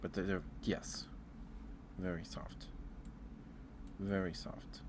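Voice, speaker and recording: A man with a low voice talks slowly and softly, as in a casual ASMR livestream. He muses aloud to his viewers in a hesitant, informal way. Clear background noise sits behind his voice. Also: little pitch movement. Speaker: live streamer hosting an ASMR stream.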